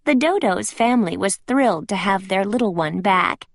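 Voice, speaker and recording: A woman reading a children's storybook aloud with expressive, deliberate phrasing. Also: medium pitch, vocal fry.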